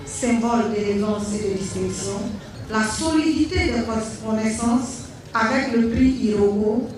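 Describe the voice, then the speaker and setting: A woman giving a formal prepared speech as a speaker at a ceremony. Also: on the high side. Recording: very echoey, distant, faint noise.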